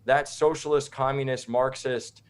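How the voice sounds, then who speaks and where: A man's voice: mid-range voice, fairly even intonation, unhurried, clear, resonant tone. A man from the United States speaking as an interview guest, informal, opinionated and rambling. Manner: informal, rambling, checks in with "right?".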